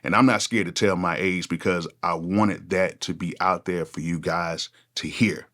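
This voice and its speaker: Forties male producer, deep low voice, relaxed unscripted vlog chat. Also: normal speed. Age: 40s. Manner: casual, conversational, repeats "go ahead" often. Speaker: music producer, channel host. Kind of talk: unscripted one-take talk to camera.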